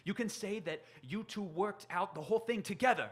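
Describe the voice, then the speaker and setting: Male actor as desperate loyal friend, radio drama, fairly high male voice, urgent, persuasive, earnest. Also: a little fast, lightly acted. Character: earnest, desperate writer friend pleading a plan. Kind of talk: stage radio drama acting.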